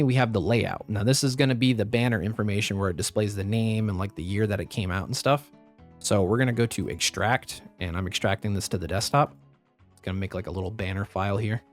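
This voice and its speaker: A man with a slightly raspy voice, recovering from the flu, giving a step-by-step software tutorial as a voice-over. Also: on the low side. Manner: step-by-step instructive, plain and practical. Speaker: tutorial host. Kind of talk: screen-recorded software tutorial.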